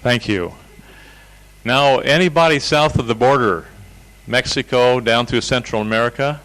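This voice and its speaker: A man's voice: mid-range voice, normal speed, fairly bright tone, low-quality recording. A man leading a seminar, casually calling audience members up to introduce themselves. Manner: informal, inviting, with fillers. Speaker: seminar leader. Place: seminar room.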